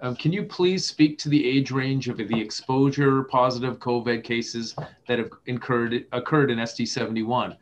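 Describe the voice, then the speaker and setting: A man's voice: medium pitch, fairly even intonation, normal speed, few pauses, a little hiss or hum. A man reading viewer questions aloud to guests, methodical.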